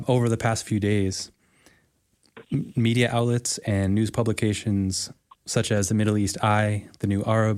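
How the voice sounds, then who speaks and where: A male podcast host asking interview questions in a polite, measured, slightly halting way. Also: lowish voice, a little mellow.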